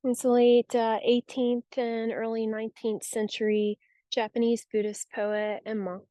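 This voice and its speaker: A woman guiding a yoga nidra relaxation practice with soothing, unhurried, gentle instruction. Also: on the high side, little pitch movement, no room sound. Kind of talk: guided relaxation meditation.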